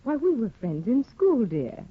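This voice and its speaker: An actress playing a cold, bored, manipulative young wife in a 1950s radio drama. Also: female voice, a little high, big pitch swings, a little slow, breathy edge, exaggerated voice. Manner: cool, mocking, commanding. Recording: tinny, phone-like 1950 radio broadcast.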